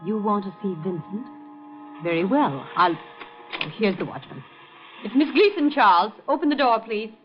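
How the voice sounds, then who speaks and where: A woman's voice: medium pitch, expressive, moderate pace, natural voice. An actress playing an icy, imperious, mocking woman in a 1950 radio drama, with a cold, clipped, commanding delivery. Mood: cool, commanding. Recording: dull old radio recording, faint music.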